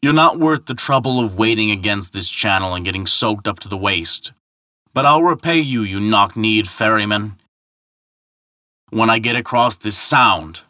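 A man voicing a booming, hot-tempered and credulous thunder god in a mythological tale, bellowing and boasting indignantly. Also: normal speed, sharp tone, no room sound, clean studio sound. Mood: scornful. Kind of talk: dramatic mythological storytelling.

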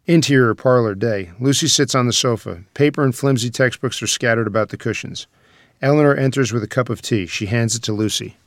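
A man's voice: mid-range voice, fairly quick, dry recording. Dry-recorded male screenplay narrator. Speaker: stage directions reader.

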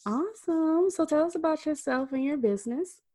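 A woman's voice: high-pitched, normal speed, warm tone, no room sound, studio quality. A woman hosting a podcast, chatting enthusiastically with her guest and laughing often. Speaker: podcast host. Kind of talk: casual interview chat.

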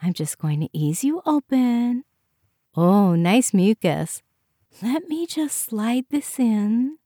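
A woman's voice: fairly high pitch, animated, takes it slowly, warm tone, no room sound, clean studio sound, voice pushed up. A woman voicing a chirpy, efficient, good-natured female medical technician in an audiobook, reassuring in a sing-song way. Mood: cheerful, businesslike. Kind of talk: audiobook character voice.

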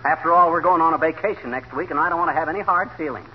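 An actor playing a blustery, touchy, folksy bumbling husband in a 1940s radio comedy. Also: male voice, on the high side, rapid-fire, close to an everyday voice. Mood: exasperated. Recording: muffled, very noisy 1940s radio recording.

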